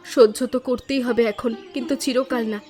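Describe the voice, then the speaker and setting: A woman's voice: on the high side, steady tone, moderate pace, a little mellow, lightly acted. A woman voicing an earnest, dutiful village daughter-in-law in a cartoon story, worried but resolute. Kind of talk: Bengali cartoon story dialogue.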